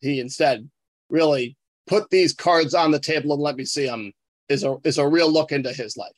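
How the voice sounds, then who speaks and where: A man speaking in a deadpan way on a podcast, as a contributor talking and reading aloud. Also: a little high, moderate pace, dry recording.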